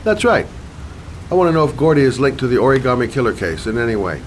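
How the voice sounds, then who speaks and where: An actor playing a private investigator who stays polite and firm under pressure. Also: male voice, medium pitch, very animated, fast talker, slight background noise, lightly acted. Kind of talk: video game cutscene acting.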